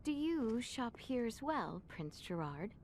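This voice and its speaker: A woman playing a poised, dutiful young princess in a video game, speaking with refined, measured politeness. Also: fairly high pitch, very animated, a little mellow, slight background noise, full character voice. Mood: gracious. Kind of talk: video game cutscene acting. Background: music.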